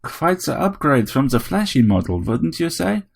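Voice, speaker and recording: A man's voice: fairly high pitch, big pitch swings, normal speed, gravelly, voice pushed up, heavily acted. A man voicing a small, menacing vampire robot in an audiobook story, theatrical and gloating.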